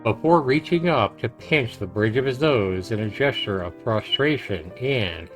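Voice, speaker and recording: Male game streamer, medium pitch: reads story text aloud, slightly halting, chatty asides, light music bed. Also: animated, fairly bright tone. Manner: halting read-aloud with stumbles and self-corrections. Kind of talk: reading a visual novel aloud with casual asides.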